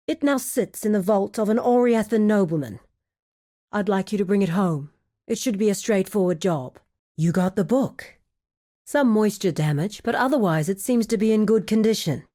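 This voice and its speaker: A woman's voice: medium pitch, darkish tone, close to an everyday voice. Woman playing a composed, shrewd underworld fixer. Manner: measured, formal, articulate storytelling delivery. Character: composed, shrewd woman of a criminal guild, guarded and wry. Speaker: video game quest-giver character. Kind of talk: scripted video game dialogue.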